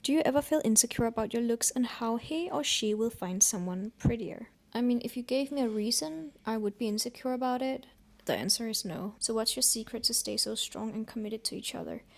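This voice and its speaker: A woman's voice: fairly high pitch, brisk, few pauses. A young woman from Denmark, a native Danish speaker, chatting candidly as a vlogger and telling stories. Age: 20s. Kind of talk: casual vlog chat.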